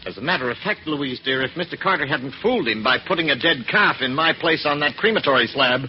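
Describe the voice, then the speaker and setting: An actor playing a wronged husband in a 1940s radio drama, earnest and dramatic. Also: male voice, a little high, lively intonation, fast talker, close to an everyday voice. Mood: bitter, vindicated. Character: wronged husband returned from presumed death. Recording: muffled old radio recording, faint hiss.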